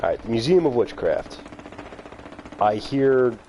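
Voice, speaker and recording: A man's voice: mid-range voice, expressive, measured pace, highs cut off, soft background music. Chatty man streaming a game. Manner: chatty, talks to chat viewers by name, laughs often. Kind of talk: casual live-stream commentary.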